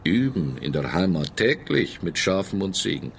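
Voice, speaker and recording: An actor playing a cynical gangster blackmailer in a German radio drama, taunting and smugly sarcastic with drawn-out menace. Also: male voice, sits low, big pitch swings, gravelly, a little hiss or hum, heavily acted. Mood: mocking, sadistic.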